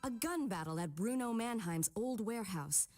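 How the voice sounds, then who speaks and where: An actress playing a poised news anchor in a video game, reading a report formally and emphatically. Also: female voice, on the high side, expressive, moderate pace, a little mellow, soft background music, lightly acted. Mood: serious.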